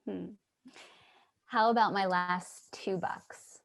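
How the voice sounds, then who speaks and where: A woman's voice: mid-range voice, fairly even intonation, slow pace, gravelly. An actress playing a thoughtful, hesitant, searching woman in a staged play reading over a video call. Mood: wistful.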